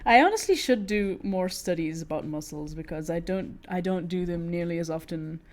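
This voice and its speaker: A woman's voice: fairly low pitch, moderate pace, darkish tone. A woman with a British accent, born in Italy, chatting casually with her stream while she draws, giggling often and restarting her sentences. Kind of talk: casual chat while drawing.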